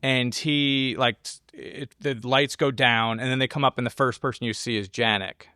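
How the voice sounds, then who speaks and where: A man co-hosting a casual film discussion, explaining his ideas in a halting way as he thinks aloud. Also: medium pitch, steady tone, bright, forceful tone, no echo, studio quality. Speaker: podcast co-host.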